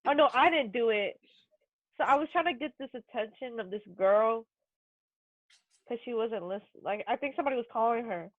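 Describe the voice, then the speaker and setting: A woman's voice: fairly high pitch, a little fast, no echo. A woman telling informal anecdotes in a casual group chat, on a dull recording. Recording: dull, lo-fi sound.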